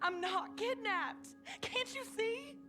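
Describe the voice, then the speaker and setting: Pleading old west runaway girl, high voice, dramatic, music playing behind. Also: slow, bright, forceful tone, exaggerated voice. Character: desperate, romantic runaway young woman in Old West. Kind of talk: dramatic game cutscene acting.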